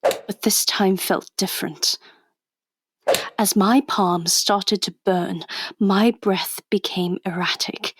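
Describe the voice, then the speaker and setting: Slow-paced woman undercover agent. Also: mid-range voice, fairly even intonation, husky. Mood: grave, confiding. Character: plucky, wry female undercover agent narrating her adventure. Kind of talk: confiding radio drama narration to the listener.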